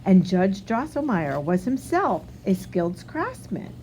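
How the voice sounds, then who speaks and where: A woman reading a storybook aloud like a teacher, expressive and instructive, pausing to put questions to young listeners. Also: mid-range voice, a little hiss or hum, poor audio.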